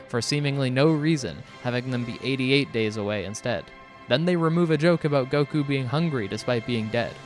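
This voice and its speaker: Man narrating a scripted video over music. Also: mid-range voice. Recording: music behind the voice. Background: background music.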